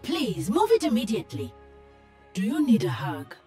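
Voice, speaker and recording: A woman's voice: a little high, wildly swooping pitch, moderate pace, dark tone, slightly rough, soft background music, exaggerated voice. A woman playing an earnest, naive guardian robot in a video game, precise and formal with a polite sternness. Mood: polite, innocent.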